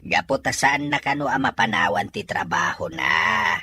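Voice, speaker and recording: An actor playing a teasing, slow-witted older father in a radio drama, with a husky, drawling, exclaiming voice and a Filipino accent. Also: mid-range voice, very animated, sharp tone, no room sound, heavily acted. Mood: surprised, teasing.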